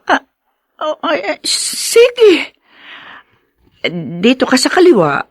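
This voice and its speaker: A woman acting an expressive, slow-spoken devoted wife. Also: high voice, no echo, studio quality, exaggerated voice. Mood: puzzled, gentle. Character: devoted middle-aged wife and mother, anxious, grieving. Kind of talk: dramatic audio drama acting in Tagalog.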